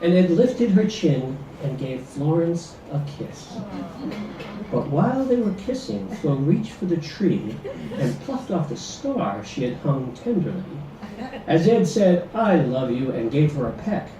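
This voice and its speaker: A man's voice: fairly high pitch, big pitch swings, unhurried, mellow. A man reciting a comic rhyming poem theatrically, with a dramatic delivery for an audience. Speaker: reader performing a comic poem. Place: club performance room. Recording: echoey, noisy stage recording from far off.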